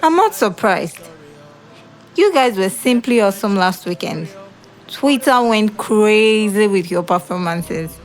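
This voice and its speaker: A woman's voice: medium pitch, expressive, measured pace, close to an everyday voice, rough recording. An actress with a Nigerian accent playing a playful, teasing young woman in an audio drama.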